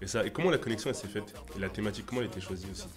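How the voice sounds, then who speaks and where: Quick low-voiced male interviewer. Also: over music. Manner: brief listening murmurs between questions. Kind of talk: interview questions.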